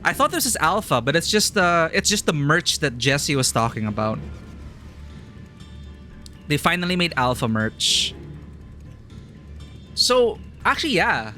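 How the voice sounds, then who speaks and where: A man with a fairly high, bright voice chats loosely as he streams a video game. He laughs a lot and mocks the villains by putting on voices. Game music plays softly behind him. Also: big pitch swings, measured pace, pauses often. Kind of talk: casual live-stream commentary.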